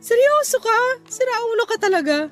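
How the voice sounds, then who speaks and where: A teasing, practical mother in her early forties played by a woman in a radio drama. Her voice sits high and she banters with playful scolding over a music bed. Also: very animated, a little fast. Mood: teasing, exasperated.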